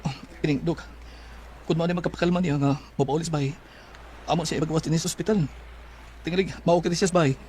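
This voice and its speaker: A man's voice: a little high, steady tone, quick pace, pauses often, darkish tone, slight background noise, natural voice. A man with a Filipino Bisaya accent playing an anxious, protective husband of a sick woman in a radio drama. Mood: insistent.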